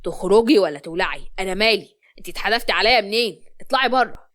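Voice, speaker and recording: A woman's voice: medium pitch, lively intonation, quick pace, a bit bright, lightly acted. A woman voicing a curt, easily exasperated young businessman in a story, with an Egyptian accent. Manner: curt, scolding.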